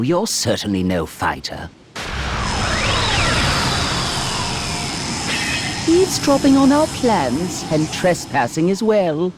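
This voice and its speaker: Snooty evil space emperor for a game, mocking, pitch swoops all over, slight rumbly noise. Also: male voice. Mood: mocking amusement. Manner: pompous, sardonic, elaborate phrasing. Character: haughty alien tyrant lord, silky, mocking, menacing. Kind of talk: theatrical villain acting in game cutscenes.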